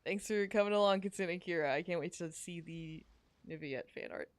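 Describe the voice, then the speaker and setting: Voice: mid-range voice, normal speed. A podcast host chatting casually on a livestream in an informal, jokey way. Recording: music behind the voice. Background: music.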